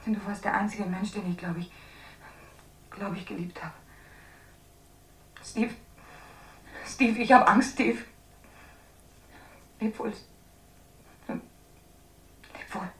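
An actress in a 1960s German radio drama playing a frightened young woman, speaking in a halting, pleading, intimate way. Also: mid-range voice, roomy sound, recorded from a distance. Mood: fearful, tender. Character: frightened young woman leaving a desperate farewell message.

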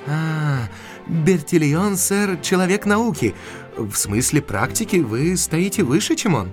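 An actor playing a young, eager, unpractical country doctor in a Russian audio drama, effusive and courteous with hesitant pauses. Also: male voice, on the high side, animated, soft background music. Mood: earnest, hesitant.

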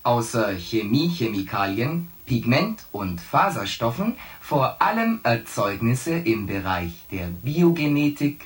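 Male ship's AI: polite, literal, formulaic delivery at a very measured pace, sci-fi radio play. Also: mid-range voice, very animated. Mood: formal, pedantic. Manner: formulaic, pedantic, equation-like phrasing. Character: polite, literal-minded shipboard AI computer, male. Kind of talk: science fiction radio drama acting.

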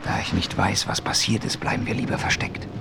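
A composed frontier hero in a radio play speaks in a low, steady voice. He is calm and decisive, and never rushes. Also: vocal fry, over music. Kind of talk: 1970s radio play acting.

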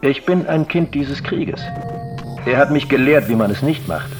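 A man playing a cynical, ambitious drug lord delivering a deliberate radio drama monologue. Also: mid-range voice, lively intonation, over music, lightly acted. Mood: scornful, reflective.

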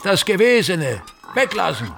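An actor playing a pompous, embittered aging stage actor in a radio drama, declaiming grandly and with self-pity. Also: male voice, sits high, very animated, unhurried, creaky, over music, exaggerated voice. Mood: imperious, instructing. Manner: grandiloquent, declaiming, self-pitying, repeats phrases. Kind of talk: theatrical German-language radio drama monologue.

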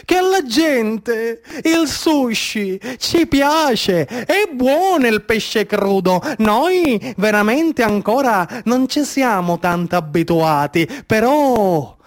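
A man with a Sicilian accent performing a dramatic storytelling monologue in a declamatory, incantatory way with deliberate, repeated phrasing. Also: extremely high pitch, animated. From Sicily, Italy. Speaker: stage storyteller, actor.

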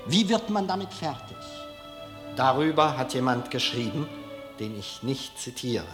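Slow-speaking lecturer in an echoing hall. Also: male voice, medium pitch, lively intonation, music in the background. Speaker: film director giving a lecture. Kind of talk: public lecture, deliberate and rhetorical. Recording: echoey hall, long reverb.

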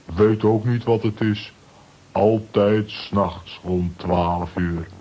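Cartoon strongman butler, low dark husky voice, clipped simple phrases, unflappable. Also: flat delivery, slow pace, muffled recording. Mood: calm, puzzled. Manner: clipped telegram-style phrases. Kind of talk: children's audio drama acting.

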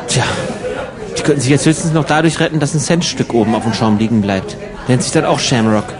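A man's voice: medium pitch, a little fast, crowd noise. A man in casual banter, talking in a dry, grumbling, argumentative manner. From Germany. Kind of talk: casual banter in an audio collage.

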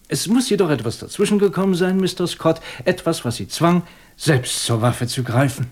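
An actor playing a shrewd, composed police inspector in a German radio crime drama, questioning methodically with dry superiority. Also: male voice, on the high side, moderate pace, close to an everyday voice. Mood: probing, confident. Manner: methodical, probing, dryly superior.

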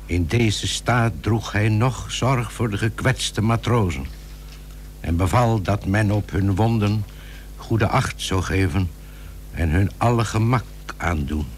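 Male narrator for a vintage radio play, grave and formal, low-pitched and unhurried. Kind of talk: scripted old radio drama narration. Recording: old radio recording with faint hum.

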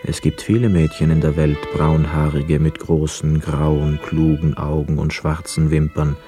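An actor playing a brooding, hesitant ex-prisoner of war, telling his story in a measured, introspective way in a radio drama. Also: a bass voice, level tone. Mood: pondering. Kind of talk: literary radio drama narration and dialogue. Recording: close dry studio, music behind. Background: music.

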